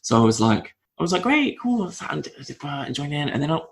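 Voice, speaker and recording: Gravelly guest, thoughtful and halting. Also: male voice, on the high side, very animated, a little hiss or hum. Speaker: podcast guest. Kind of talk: casual interview talk.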